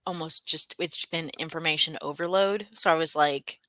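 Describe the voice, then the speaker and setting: A woman's voice: low-pitched, fairly even intonation, moderate pace, fairly bright tone, no echo. A woman co-hosting a casual book discussion, talking conversationally and thinking aloud as she goes.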